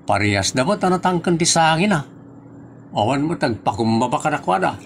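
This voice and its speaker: A man's voice: on the high side, expressive, a little fast, vocal fry, a little hiss or hum. A man playing a teasing nephew in a comedy audio drama, talking in a playful way. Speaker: nephew teasing his aunt.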